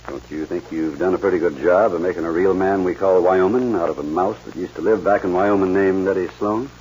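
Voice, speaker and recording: An actor playing a fatherly, measured American ranch boss in a 1940s radio western. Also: low voice, darkish tone, husky. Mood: kindly, serious. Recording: muffled, faintly noisy 1940s radio recording.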